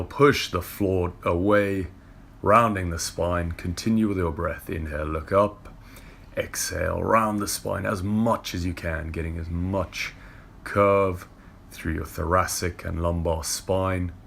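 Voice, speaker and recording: Low, gravelly young man instructor. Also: slight background noise. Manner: calm, steady cueing of breath and movement. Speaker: yoga and movement instructor. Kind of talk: guided workout instruction. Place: indoor car park with brick wall. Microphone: far camera.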